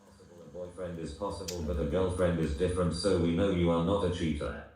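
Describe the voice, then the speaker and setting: A male text-to-speech voice flatly reading out chat messages. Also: low-pitched, fast talker. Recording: very echoey, distant.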